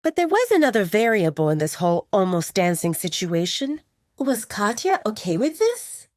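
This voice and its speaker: A woman's voice: medium pitch, big pitch swings, moderate pace, dry recording, studio quality. A woman reading scripted romance fiction as a dramatic audiobook narrator.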